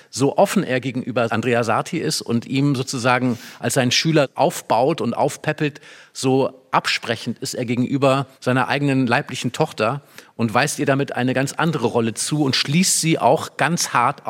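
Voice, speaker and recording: A man speaks at a medium pitch. He explains and analyses literature in an emphatic, engaged manner, as in a discussion programme. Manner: explanatory, emphatic, acts out short quotes. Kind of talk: animated analytical discussion of a play.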